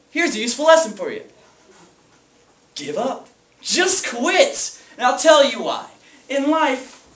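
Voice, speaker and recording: A man's voice: extremely high pitch, voice pushed up. A man performing a stage monologue as a bitter, cynical slacker substitute teacher, ranting sarcastically and theatrically. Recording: echoey, noisy room from far off.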